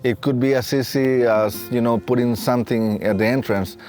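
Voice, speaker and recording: A man in his late thirties with a Mexican accent, telling his own story in a halting, reflective way. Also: medium pitch, steady tone. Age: late 30s. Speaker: facilities and safety technician and staff trainer. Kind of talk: reflective personal storytelling for a training film. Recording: soft plucked guitar music behind. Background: guitar music.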